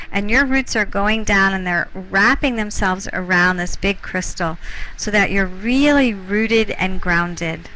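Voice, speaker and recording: A woman leading a guided meditation as a teacher, speaking in soothing, unhurried short phrases with pauses. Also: mid-range voice, pushed and bright, rough recording. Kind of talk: guided meditation, softly instructing.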